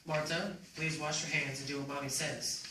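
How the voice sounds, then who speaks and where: A man's voice: fairly high pitch, flat delivery, normal speed, close to an everyday voice. Man as a hapless dad in an echoey room. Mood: coaxing, firm. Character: well-meaning, hapless father coaxing his child. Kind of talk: readers theater stage performance. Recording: very echoey room, distant mic, faint noise.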